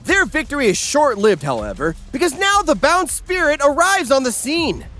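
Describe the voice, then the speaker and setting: Guy doing a crude sardonic recap narration, really high voice, swoopy and theatrical, music under it. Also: sing-song, moderate pace, bright, forceful tone. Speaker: narrator and host. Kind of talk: scripted comedic recap narration. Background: background music.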